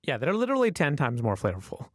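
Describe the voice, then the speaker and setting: Laughing, animated male podcast host. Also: mid-range voice, fast, no echo, studio quality. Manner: animated storytelling, laughs often. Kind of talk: casual interview chat.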